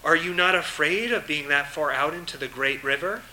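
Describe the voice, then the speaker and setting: A man's voice: a little high, normal speed, pushed and bright, a little hiss or hum, low-quality recording. Timid, curious little mouse, read by a man. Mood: wondering. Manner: timid, questioning. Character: small curious female mouse, timid yet determined.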